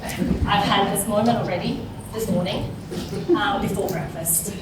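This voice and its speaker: A woman from South Korea, around her thirties, giving an informal, somewhat halting conference talk built on personal storytelling. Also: medium pitch, fairly even intonation, a crowd in the background. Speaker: conference speaker, social entrepreneur. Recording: distant, very echoey room with clear noise.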